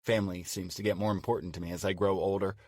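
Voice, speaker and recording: A man's voice: sits low, steady tone, fast, a little mellow, no room sound, clean studio sound. A man narrating an audiobook as a courteous, chatty older single man writing courtship emails.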